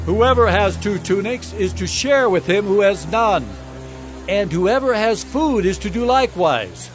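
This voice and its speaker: Audio Bible drama: stern male prophet played by a man, high register, very animated, declamatory and admonishing. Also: a little slow, faint music behind. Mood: firm, instructing. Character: fiery wilderness prophet, stern male preacher of repentance.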